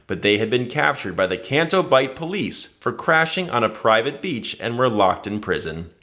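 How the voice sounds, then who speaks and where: A man reading a children's adventure story aloud in an expressive, dramatic storytelling manner. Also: mid-range voice, moderate pace. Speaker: read-aloud narrator.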